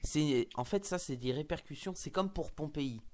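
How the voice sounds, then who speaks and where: A man's voice: a little high, normal speed, darkish tone. A man with a slight cold hosting a casual fan discussion, talking, hesitant and full of fillers and restarts. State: has a cold. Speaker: host.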